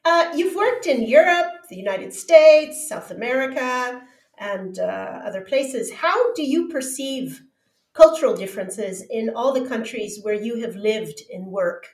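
A woman's voice: fairly high pitch, expressive, measured pace. A woman hosting a podcast, welcoming her guest and asking polite, formal questions. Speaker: podcast host. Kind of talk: scripted interview intro and questions. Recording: echoey room sound.

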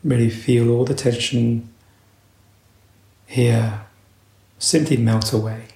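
Gravelly man guiding a meditation. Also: mid-range voice, fairly even intonation, dark tone, echoey room. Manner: slow, measured, with long pauses. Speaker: meditation teacher. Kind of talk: guided meditation instruction.

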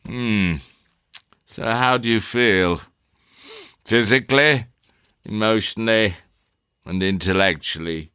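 A man playing a cool, probing interrogator in a theatrical drama, questioning in a formal, deliberate, insinuating way. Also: fairly low pitch, sharp tone, smooth, no echo, studio quality. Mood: probing, clinical.

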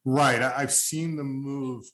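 A man's voice: mid-range voice, fairly even intonation, takes it slowly, fairly bright tone, roomy sound. An older man from Long Island hosting a casual interview, talking in an earnest, rambling way that often corrects itself. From Long Island, New York. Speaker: podcast host.

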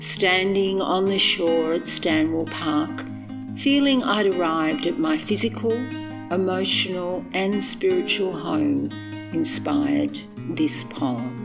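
A middle-aged Australian woman, a poet, reading and reflecting on her work in measured, deliberate phrases. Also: lowish voice, creaky, music in the background.

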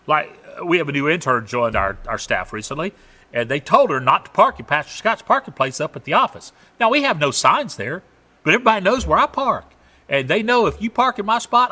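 A middle-aged man with a Southern US accent, preaching a conversational sermon with loud emphatic peaks and quiet asides. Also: on the high side. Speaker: pastor.

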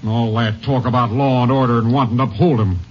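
An actor playing a stern, blunt, honest county sheriff in a 1950s radio drama. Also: male voice, mid-range voice, fairly even intonation, fast, lightly acted. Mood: angry, commanding. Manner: blunt, accusing, commanding. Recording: muffled old radio transcription.

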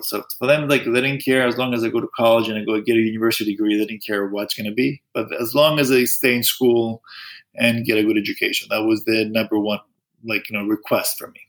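A middle-aged man who grew up in Greece answers questions about his work as an orthodontist. He talks in a loose, halting way, starts sentences again and fills gaps with "like" and "um". His pitch sits in the usual middle range for a man. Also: a bit bright, rough recording. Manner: halting, many restarts and fillers. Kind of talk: interview answers.

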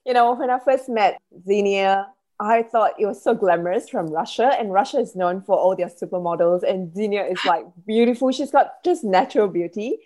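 A woman's voice: mid-range voice, normal speed, few pauses, darkish tone. A woman hosting a business podcast, speaking in an enthusiastic, effusive manner as she presents and chats. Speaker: podcast host, business coach. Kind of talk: podcast hosting, introducing a guest.

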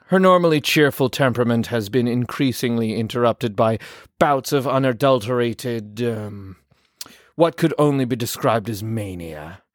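A man's voice: mid-range voice, normal speed, no room sound, studio quality, lightly acted. A man playing a wry doctor in an audio drama, dictating case notes in a formal, sardonic, wordy way. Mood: dry, wry. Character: dry, wry doctor reporting on patients.

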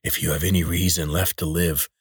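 A man voices a blind, menacing warrior leader from a storybook, his rasping voice sitting very low. He speaks quietly with cold threat, and the recording is dry and close. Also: little pitch movement, brisk, no room sound.

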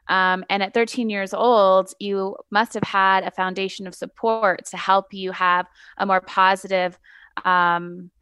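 Smooth-voiced woman podcast host. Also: medium pitch, a bit bright, no room sound, studio quality. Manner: enthusiastic, encouraging questions. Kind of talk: interviewing a guest.

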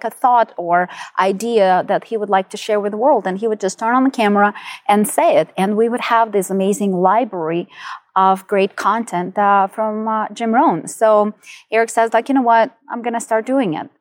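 A woman's voice: medium pitch, dry recording. A middle-aged woman with a Ukrainian accent, telling her personal story as an interview guest. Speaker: podcast guest, entrepreneur.

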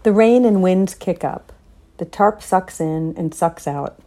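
A woman's voice: fairly low pitch, takes it slowly, dark tone. A woman author reading her own literary fiction aloud in the manner of an audiobook.

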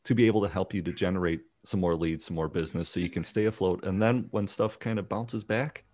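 A man's voice: low voice, quick pace, a little mellow, dry recording, studio quality. A man hosting a podcast, speaking hesitantly and filling his sentences with "you know" and "um". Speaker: podcast host. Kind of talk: casual podcast interview.

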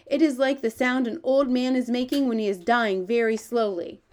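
A woman's voice: a little high, fairly even intonation, moderate pace, darkish tone, voice pushed up, lightly acted. A woman voicing a big-hearted male giant in a children's story, earnest and proud, full of wonder, with ungrammatical talk and quaint invented words. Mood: solemn, awed.

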